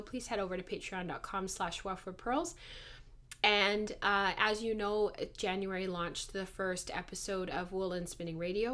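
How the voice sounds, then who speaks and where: A woman in her thirties hosts a casual podcast, talking chattily to her viewers. Her mid-pitched voice is hoarse and congested from a heavy cold, and she sounds like she is struggling a little to keep it going. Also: a bit bright. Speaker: podcast host.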